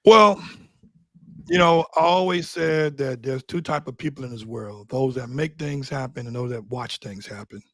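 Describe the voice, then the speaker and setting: A man's voice: mid-range voice, normal speed, sharp tone, no echo. A man guesting on an interview, telling stories loosely and bluntly with street slang. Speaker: interview guest, Doberman breeder. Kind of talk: casual conversational interview.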